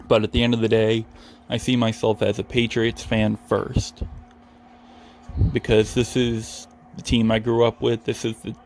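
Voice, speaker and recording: Young American man, flat and gravelly. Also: lowish voice, a little slow, a little hiss or hum. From New England, United States. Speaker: Patriots fan commentating over his video game. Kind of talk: casual rambling solo commentary.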